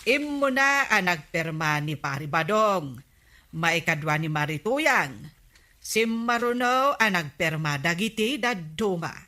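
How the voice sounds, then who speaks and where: A nagging village captain's wife in an Ilocano-accented radio comedy. Her voice is sharp and animated, insistent and exasperated with her husband. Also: lowish voice, a little slow.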